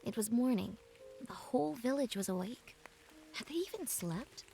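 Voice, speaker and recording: A woman playing a reflective young woman who narrates her journey in a measured, wistful storybook style. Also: fairly high pitch, expressive, creaky, music in the background. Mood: wistful, gentle. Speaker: narrator and protagonist. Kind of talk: scripted first-person storybook narration.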